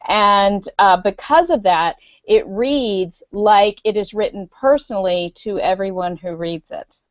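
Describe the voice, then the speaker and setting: A woman's voice: mid-range voice, a little slow, no echo, highs cut off. A woman guest author giving earnest, practical advice in a live online panel chat, with frequent ums.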